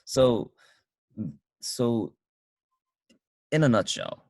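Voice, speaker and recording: A man casually reviewing a film with a friend, talking in halting bursts with many restarts. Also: medium pitch, wildly swooping pitch, gravelly, a little mellow, no echo. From United States. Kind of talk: casual movie review chat. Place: car.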